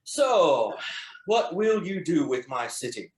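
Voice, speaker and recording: A man's voice: on the high side, big pitch swings, slow pace. A man playing a confident, teasing, expansive Italian gondolier in a screenplay table read. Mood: probing. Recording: echoey, roomy sound.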